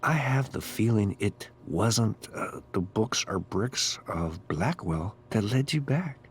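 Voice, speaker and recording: Philosophical, eccentric game groundskeeper, unhurried and poetically formal, faint noise behind the voice. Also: male voice, on the low side, expressive. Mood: musing, cordial. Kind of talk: video game character acting.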